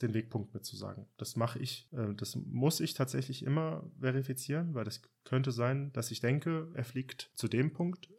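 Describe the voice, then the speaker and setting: A man with an ordinary mid-pitched voice talks through how air traffic control works. He explains in patient, practical detail and often hedges what he says. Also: darkish tone. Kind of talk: casual podcast chat.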